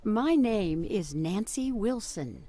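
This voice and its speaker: A woman with an American accent reading short English lesson sentences in a clear, sing-song teaching manner. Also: medium pitch, big pitch swings, slow pace. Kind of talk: slow scripted lesson reading. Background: harp music.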